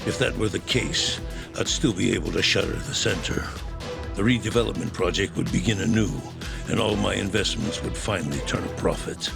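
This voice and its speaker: A powerful older businessman in a game drama, very gravelly and deep. He speaks in a measured, calculating manner, every phrase deliberate, with music playing clearly behind him. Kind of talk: dramatic video game cutscene acting. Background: dramatic background music.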